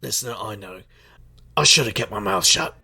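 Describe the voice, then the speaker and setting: An actor playing a wry, stubborn street magician detective in an audio drama, with a gravelly, sardonic delivery. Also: male voice, medium pitch, expressive, normal speed, bright, forceful tone, heavily acted. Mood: cheeky. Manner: sardonic, wisecracking. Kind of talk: audio drama acting and noir-like narration.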